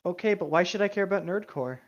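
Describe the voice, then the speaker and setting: A podcast co-host chatting casually and reading a story aloud mockingly, blunt, exasperated and quick to swear. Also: medium pitch, animated. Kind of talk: casual banter and mock fanfiction reading. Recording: dull, lo-fi microphone sound.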